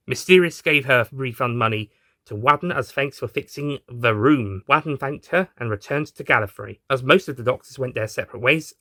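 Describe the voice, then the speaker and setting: A man reading a scripted story aloud as an expressive narrator. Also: mid-range voice, no echo, clean studio sound. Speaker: narrator and reader.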